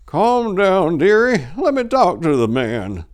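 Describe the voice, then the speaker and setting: A man voicing an elderly farmer, worried but steady, with a folksy, insistent manner, in a story reading. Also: sits high, takes it slowly, voice pushed up, exaggerated voice. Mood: soothing.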